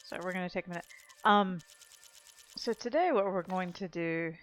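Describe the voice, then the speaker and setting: A woman's voice: lowish voice, moderate pace. Woman doing chill gameplay commentary, kinda rambly, talks to herself, game music going behind her. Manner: rambling, thinking aloud. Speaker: gaming video host. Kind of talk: casual video game walkthrough commentary. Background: video game music.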